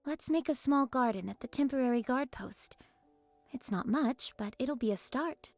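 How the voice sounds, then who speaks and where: A woman's voice: sits high, lively intonation, normal speed, darkish tone, no echo, faint music behind. A woman playing a composed, capable young squad leader in an anime game, speaking decisively and politely.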